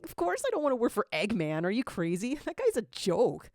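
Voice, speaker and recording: A woman's voice: a little high, fairly quick, dry recording, clean studio sound, voice pushed up. A woman voicing an eager, starstruck young male rookie cadet in a gushing fan fiction audio drama. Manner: gushing, excitable.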